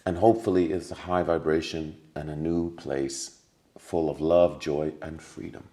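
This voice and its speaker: A man's voice: very deep voice, steady tone, normal speed, dark tone. A man talking as a podcast guest, telling stories earnestly with many restarts and repetitions. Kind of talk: casual conversation.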